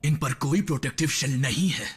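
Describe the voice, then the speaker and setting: Grumpy robot medic played by a man, husky and lively. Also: mid-range voice, a little fast. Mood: blunt. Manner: blunt, grumbling. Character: gruff male robot medic, blunt. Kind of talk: Hindi cartoon dub.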